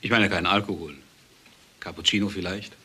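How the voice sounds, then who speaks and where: An actor playing a courteous, guarded, well-off doctor with a husky voice in a crime radio play. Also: male voice, low voice, fairly even intonation, fairly quick, bright, forceful tone, full character voice. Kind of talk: German crime radio drama acting.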